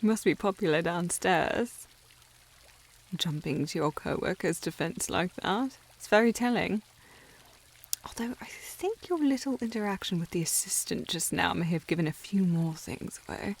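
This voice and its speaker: A woman's voice: fairly low pitch, animated, normal speed, creaky. A woman playing a haughty young upper-class lady in a historical audio roleplay, formal and teasing in manner. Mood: arch, teasing.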